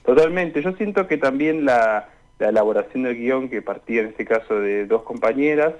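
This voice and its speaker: A young Argentine man answering questions in a conversational radio interview, speaking in a hesitant, halting way. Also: mid-range voice, lively intonation. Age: young adult. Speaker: interview guest, student radio drama maker. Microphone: remote call into a radio show. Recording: dull, lo-fi call-in sound.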